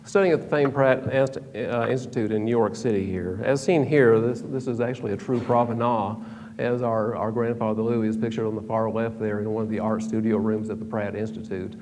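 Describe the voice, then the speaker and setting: A man's voice: fairly low pitch, fairly even intonation, fairly quick, darkish tone. A man presenting a prepared history talk in a hall, reading from a script with small stumbles and restarts. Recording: reverberant hall, faint background hum.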